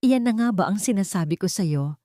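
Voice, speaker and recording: Woman acting a lively, bossy best friend. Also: mid-range voice, fairly quick, no echo, clean studio sound, close to an everyday voice. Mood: urgent, insistent. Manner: urging, emphatic.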